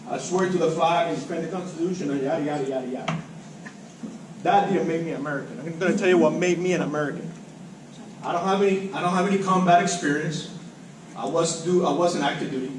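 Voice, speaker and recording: A middle-aged man from Cuba with a Cuban accent, a native Spanish speaker, giving an emotional, emphatic, informal public speech. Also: a little high. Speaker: public commenter at a school board meeting. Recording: very echoey room, distant mic, faint noise.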